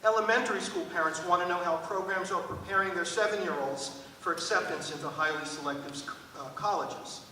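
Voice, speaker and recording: Bright-voiced man speaking in an echoing hall. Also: sits high. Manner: formal, measured wording. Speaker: school administrator opening a panel event. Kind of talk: prepared welcome speech to an audience. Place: large echoing hall at a public event. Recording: echoey hall, distant mic, faint noise.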